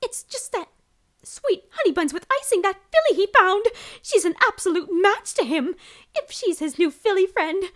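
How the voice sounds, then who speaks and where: A woman's voice: very high voice, sing-song, moderate pace, a bit bright, exaggerated voice. Woman playing a fussy motherly old mare baker. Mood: nervous. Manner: fussy and motherly, calling others dear. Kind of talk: amateur audio drama acting.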